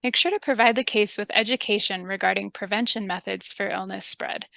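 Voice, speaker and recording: A woman with a calm, polite and methodical manner, giving instructions in a scripted public health training video. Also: medium pitch, a little fast, creaky, background noise. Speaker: public health interviewer and narrator.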